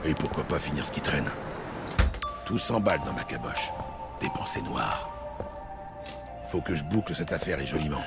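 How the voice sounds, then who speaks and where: Gravelly, low-voiced hard-boiled cop. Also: male voice, expressive, normal speed, long pauses. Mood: bitter, weary. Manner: world-weary, terse, brooding. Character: hard-boiled, bitter, weary male New York police lieutenant. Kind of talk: French audio drama acting and noir narration. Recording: studio drama with loud music behind.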